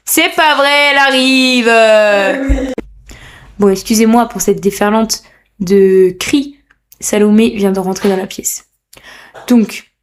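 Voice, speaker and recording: A young woman talks through her podcast alone from a room at home. She is chatty and playful, teases herself and gushes with enthusiasm. Her throat is a little sore. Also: mid-range voice. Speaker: podcast host. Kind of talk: casual solo podcast commentary.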